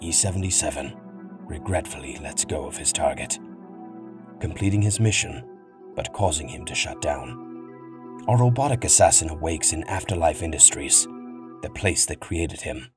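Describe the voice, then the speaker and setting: A man's voice: a bass voice, animated, moderate pace. A man giving dramatic scripted storytelling narration, as the narrator of an audio drama. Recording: loud music behind.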